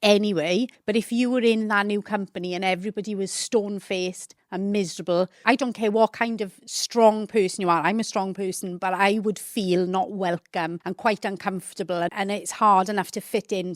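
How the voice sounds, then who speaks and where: A woman's voice: medium pitch, fairly quick, few pauses, dry recording, studio quality. A middle-aged woman from the South Wales Valleys with a Valleys accent, a podcast guest talking earnestly in long stretches. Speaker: guest from a housing membership team. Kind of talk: casual conversational interview.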